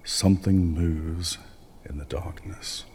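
Man acting a deep, slow, cryptic riddler. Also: fairly even intonation, a little mellow, slight background noise. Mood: cryptic, ominous. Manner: slow, portentous, theatrical delivery of riddles. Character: cryptic, taunting male doctor speaking in riddles. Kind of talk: radio drama acting.

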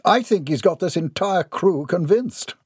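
A man's voice: sits high, animated, measured pace, darkish tone, breathy edge, no echo, studio quality, voice pushed up, heavily acted. A man voicing a prim, fretful older lady in a read-aloud story, speaking in a proper, fussy manner.

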